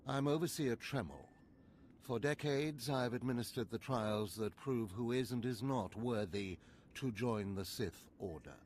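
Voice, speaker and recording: A man voices a stern overseer of a Sith academy in a video game. He speaks at a middling pitch with grave, deliberate formality and quiet command. There is faint noise in the streamed game audio. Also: lightly acted. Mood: grave, urgent. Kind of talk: scripted video game dialogue.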